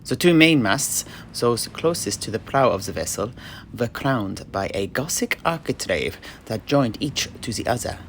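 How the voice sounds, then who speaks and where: A man telling a fantasy tale in character, theatrical and florid, often dropping to a hush at phrase endings. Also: medium pitch, very animated, a little slow, slight background noise. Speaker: in-character storyteller at a fantasy tavern. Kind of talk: dramatic in-universe fantasy narration.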